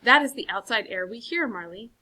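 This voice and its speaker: A woman's voice: fairly high pitch, expressive, fairly quick, pushed and bright. A woman voicing a sturdy, sensible grown man in a storybook, speaking firmly and urging the others on. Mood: reasoning.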